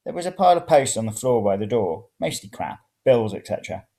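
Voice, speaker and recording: A man's voice: medium pitch, sing-song, a little fast, creaky, dark tone. A man reading a first-person story aloud. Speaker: reader of serial fiction.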